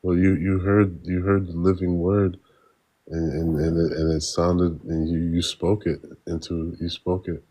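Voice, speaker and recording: A very deep-voiced man hosts a loose spiritual conversation over a call. He rambles, repeats words as he searches for them, and laughs easily between thoughts. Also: little pitch movement, unhurried, warm tone. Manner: rambling, stammering, laughs often. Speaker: host. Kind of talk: casual spiritual chat.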